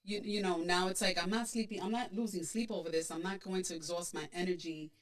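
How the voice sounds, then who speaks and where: A woman's voice: on the low side, steady tone, a little fast. A woman co-hosting a podcast, chatting casually and reading out audience comments, with many restarts and fillers. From Puerto Rican background. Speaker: podcast co-host.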